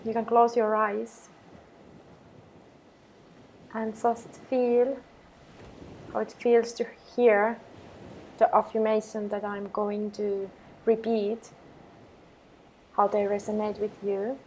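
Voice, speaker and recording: A woman meditation teacher guiding affirmations in a calm, measured way with pauses between phrases. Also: medium pitch, a little hiss or hum, rough recording. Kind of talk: guided meditation with repeated affirmations.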